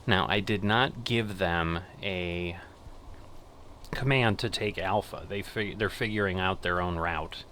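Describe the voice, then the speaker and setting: A middle-aged man giving casual gameplay commentary and controller commands, thinking aloud in an unhurried way. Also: sits low, pushed and bright, slight background noise. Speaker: gaming channel host playing air traffic controller.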